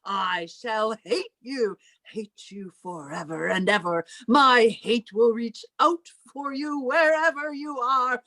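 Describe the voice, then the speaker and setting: A woman's voice: fairly high pitch, takes it slowly, few pauses, fairly bright tone. A woman playing a bitter, controlling older sister in old time radio melodrama, theatrical and imperious in her delivery. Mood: bitter, furious.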